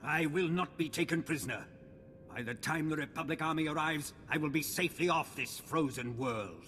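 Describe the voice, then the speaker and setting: A man's voice: a little high, normal speed, bright, forceful tone, big-room sound, slight background noise. An actor playing an arrogant, defiant male Sith lord who talks in a haughty, threatening way, in a video game. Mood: menacing.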